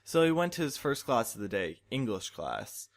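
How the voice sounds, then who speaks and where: A man's voice: medium pitch, big pitch swings, normal speed. A man reading a fan fiction story aloud in a mock-dramatic scripted narration style.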